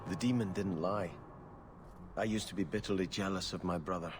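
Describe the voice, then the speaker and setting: Deep-voiced, earnest prince played by an actor. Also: male voice, fairly even intonation, brisk, a little hiss or hum, close to an everyday voice. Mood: troubled. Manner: formal, confessional. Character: devout, conflicted young exiled prince, earnest. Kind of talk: video game cutscene acting.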